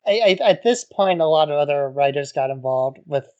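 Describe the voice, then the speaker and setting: A man co-hosting a podcast, talking in a relaxed way with wry asides. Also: fairly high pitch, normal speed, muffled recording. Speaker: podcast co-host. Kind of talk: casual podcast discussion.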